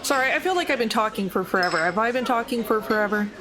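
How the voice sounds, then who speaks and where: A woman's voice: fairly high pitch, animated, fast talker, a crowd in the background, natural voice. A woman playing a wry, blunt, world-weary female agent in a tabletop game, talking casually and dryly. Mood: exasperated.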